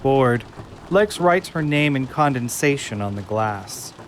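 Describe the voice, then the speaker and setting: A man narrating an audio drama from a script in a deliberate, suspenseful way. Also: mid-range voice, animated, a little hiss or hum. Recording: loud rain and storm effects behind.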